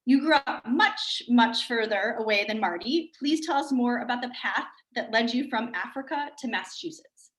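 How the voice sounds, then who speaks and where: A woman's voice: a little high, animated, moderate pace, a bit bright. A woman moderating a panel in a friendly, chatty way. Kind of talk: hosting a panel. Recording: roomy echo.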